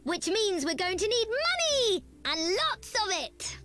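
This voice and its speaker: A woman's voice: very high voice, unhurried, exaggerated voice. An actress voicing a perky, bossy young woman eager for money in a video game, exclaiming as she talks. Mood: brisk, eager. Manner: animated, exclaiming. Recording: music under the voice.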